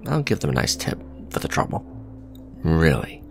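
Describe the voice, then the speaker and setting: Casting: male actor for smug businessman villain, romantic ASMR drama; gravelly fry, expressive intonation, dry conversational wit, faint music underneath. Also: extremely low pitch, moderate pace, full character voice. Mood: casual, warm. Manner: sardonic, conversational, sighing and teasing. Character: smug male villain, suave luxury fashion businessman on a date.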